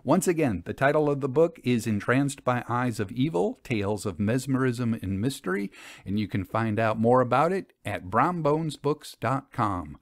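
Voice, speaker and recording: A man's voice: medium pitch, lively intonation, normal speed, no echo, clean studio sound. A man hosting a storytelling podcast, talking casually to listeners about old fiction. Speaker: host and story narrator.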